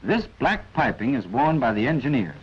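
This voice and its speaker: A man's voice: medium pitch, big pitch swings, moderate pace, slightly rough. A man narrating a 1940s military instructional film in a scripted, didactic way with wry asides, on a dull vintage soundtrack.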